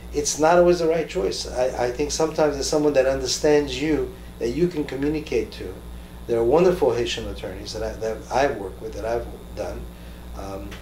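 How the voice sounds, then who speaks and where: A man hosting an interview in a conversational way, haltingly, repeating words as he searches for his phrases. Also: medium pitch, a little mellow. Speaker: talk show host interviewing a guest. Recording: roomy echo with faint background noise.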